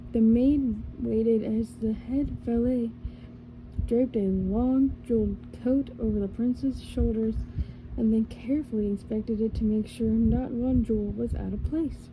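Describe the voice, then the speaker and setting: A woman reading a storybook in a gentle ASMR style, with slow, deliberate phrasing. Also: on the high side, poor audio. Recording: faint background noise.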